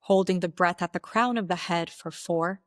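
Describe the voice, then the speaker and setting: Woman, meditation guide, lowish pitch, slow deliberate instructions, spaced pauses, dry sound. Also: dry recording.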